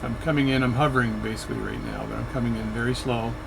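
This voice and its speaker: A man's voice: mid-range voice, steady tone, quick pace, vocal fry, noisy background. A man narrating his flight sim session in a chatty, rambling way, often correcting himself mid-sentence. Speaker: flight sim video creator. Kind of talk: casual narration while playing a flight sim.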